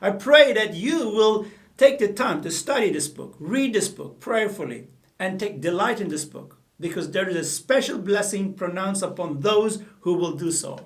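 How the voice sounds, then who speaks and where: A man's voice: high voice, expressive, moderate pace, recorded from a distance. A middle-aged man from Turkey with a Turkish accent, teaching the Bible earnestly to the camera from a small studio room, often repeating and correcting himself. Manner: earnest, gestures with both hands, repeats and self-corrects.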